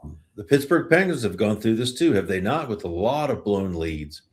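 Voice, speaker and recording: A man hosting a casual sports podcast, speaking informally and haltingly as he works out his thoughts. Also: lowish voice, very animated.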